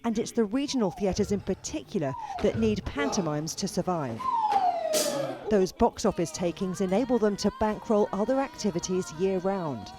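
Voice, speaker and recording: A woman narrates a scripted TV news feature as its reporter. Her pitch moves in a lively, expressive way, with a playful storytelling touch. Faint music plays behind her mid-range voice. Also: normal speed.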